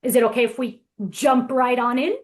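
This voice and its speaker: A woman's voice: fairly high pitch, fairly even intonation, moderate pace. A woman from Brazil giving a casual, chatty presentation as a guest speaker, talking with enthusiasm.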